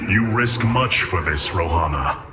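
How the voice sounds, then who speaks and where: A man's voice: low-pitched, animated, unhurried, background noise. A man voicing a noble, resolute alien warrior commander in a video game, speaking formally and solemnly. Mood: stern, measured. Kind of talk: dramatic video game acting. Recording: loud orchestral score behind.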